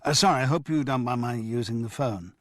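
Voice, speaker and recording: A man's voice: mid-range voice, fairly quick, a bit bright, dry recording, clean studio sound, lightly acted. A man playing a stammering, apologetic middle-aged actor.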